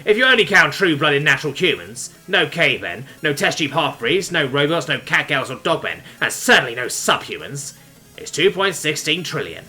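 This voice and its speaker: Guy doing a big mean space orc pirate boss, slow and taunting, forceful, music behind. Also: on the high side, big pitch swings, exaggerated voice. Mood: scornful. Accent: mock Cockney. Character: brutish pig-nosed space orc pirate captain, menacing bigot.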